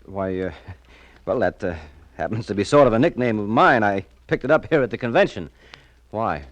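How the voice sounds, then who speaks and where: A man's voice: lowish voice, lively intonation, normal speed, no echo, close to an everyday voice. Guy playing jittery evasive schemer, old radio drama, stammers, super up-and-down voice, dry sound. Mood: flustered. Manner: stammering, agitated. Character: flustered, evasive conventioneer, the suspect's scheming friend. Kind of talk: 1940s radio crime drama acting.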